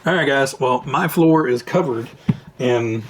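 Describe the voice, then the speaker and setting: A man hosting a hobby vlog, answering viewer questions in a rambling, chatty way, his voice raspy and tired after hours of recording at home. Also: mid-range voice, measured pace, low-quality recording. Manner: rambling, chatty, self-interrupting. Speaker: RC hobby channel host. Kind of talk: casual Q&A vlog talk. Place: at home, indoors. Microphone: camera mic.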